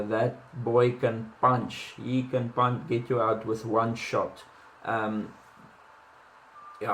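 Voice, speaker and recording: A man's voice: mid-range voice, echoey room, slight background noise, poor audio. A man with a South African accent giving casual boxing commentary, chatty, with many ums and restarts and self-deprecating asides.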